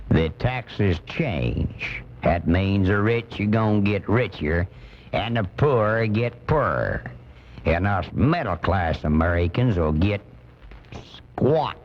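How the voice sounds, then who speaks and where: An angry working-class American conservative on a comedy radio show. He rants bluntly and indignantly, with lively swoops in his voice. The sound is a dull cassette copy of a broadcast. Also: on the low side, full character voice. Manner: indignant, blunt, folksy ranting. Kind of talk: comic radio commentary monologue.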